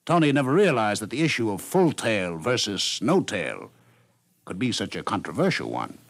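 A man narrates a scripted story for 1950s radio. His medium-pitched voice moves expressively up and down, and the studio sound is dry and close. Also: big pitch swings, normal speed, creaky, dry recording.

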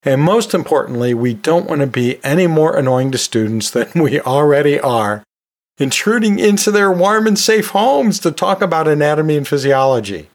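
A man's voice: fairly high pitch, expressive, moderate pace. A middle-aged American man hosting a podcast, giving teaching tips in an informal, folksy and chatty way with light self-deprecation. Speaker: podcast host and college instructor.

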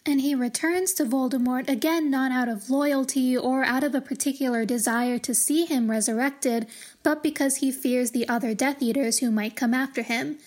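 A woman hosting a podcast, giving measured, articulate commentary on a fantasy book series in a scripted, explanatory style. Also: sits high, fairly even intonation, a little mellow. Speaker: podcast host.

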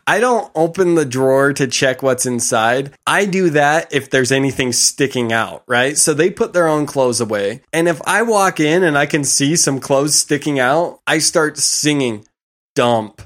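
A man with a deep voice, co-hosting a podcast and telling self-deprecating family stories in casual chat. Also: moderate pace, hardly any pauses, fairly bright tone. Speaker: podcast co-host.

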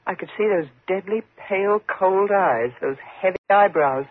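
A woman's voice: medium pitch, moderate pace, husky, no room sound, narrow, tinny audio, natural voice. An actress playing a frightened single woman in a 1950s radio suspense drama, tense and dramatic in her delivery. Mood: haunted, dread. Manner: tense, dramatic, faltering when flustered.

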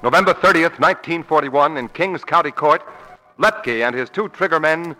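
A man's voice: a little high, normal speed, music in the background, natural voice, low-quality recording. A man narrating a crime drama in the formal, dramatic style of a 1940s radio announcer.

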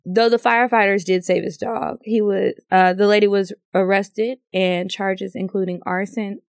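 A woman's voice: mid-range voice, flat delivery, normal speed, dry recording, clean studio sound. A woman co-hosting a podcast, recounting a news story with blunt jokes in casual chat. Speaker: podcast co-host.

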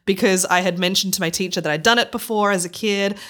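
Lively Australian woman, laughing storyteller. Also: mid-range voice, quick pace. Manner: animated storyteller, laughs often, acts out voices. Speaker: guest. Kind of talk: casual interview chat.

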